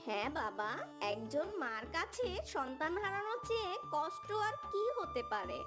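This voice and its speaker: A woman's voice: sits high, very animated, normal speed, over loud music. A woman voicing a kind parent crow who speaks tenderly in a children's cartoon. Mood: tender, sad.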